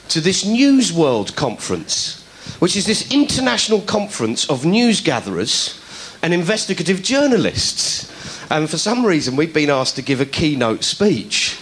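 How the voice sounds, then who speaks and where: A middle-aged comedian telling a story to a studio audience, animated and putting on voices for the people he quotes. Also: on the high side, husky. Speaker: comedian host.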